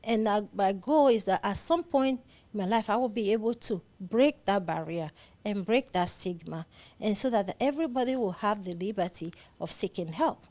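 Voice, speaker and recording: A woman's voice: mid-range voice, moderate pace, darkish tone. A woman with a Nigerian accent speaking as an interview guest, earnest and emphatic, often repeating phrases to stress them. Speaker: psychiatric nurse practitioner, interview guest. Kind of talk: conversational interview.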